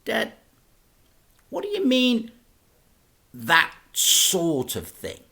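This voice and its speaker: A man's voice: sits high, wildly swooping pitch, extremely slow, creaky, sharp tone, voice pushed up, full character voice. A man doing an earnest young boy.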